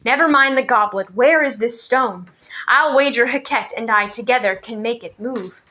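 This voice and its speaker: Woman voicing a slow, firm old man. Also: fairly high pitch, sharp tone. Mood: commanding.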